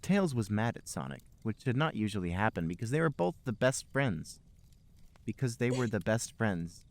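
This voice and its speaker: A man's voice: fairly low pitch, sing-song, normal speed, gravelly, slight background noise. A man hosting a podcast, reading fan fiction aloud for comedy. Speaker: podcast host and reader.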